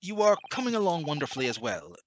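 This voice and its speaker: A man's voice: a little high, sing-song, quick pace, fairly bright tone, a little hiss or hum. A man voicing a grandiose eccentric detective. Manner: theatrical, grandiose. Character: eccentric great detective, theatrical. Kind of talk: fan dub of a game.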